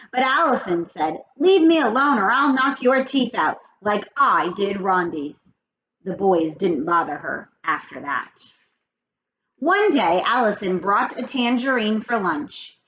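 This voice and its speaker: A woman's voice: fairly high pitch, fairly bright tone. A woman reading a children's story aloud expressively, addressing young listeners. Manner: addresses young children, explaining words and asking questions. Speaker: read-aloud host. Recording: muffled lo-fi with faint noise.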